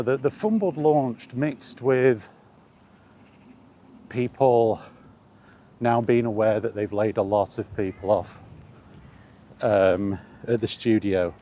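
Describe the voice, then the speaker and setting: A man's voice: mid-range voice, mellow. A man chatting casually and bluntly in a rambling, resigned way, as a vlogger walking outdoors. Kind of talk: casual walking vlog commentary on video games.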